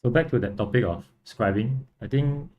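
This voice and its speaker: A young man from Singapore with a Singaporean accent, casually chatting on a livestream and answering viewers in a relaxed, rambling way. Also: mid-range voice, normal speed, narrow, tinny audio. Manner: relaxed, rambling, frequent fillers and restarts. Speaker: livestream host and model kit builder.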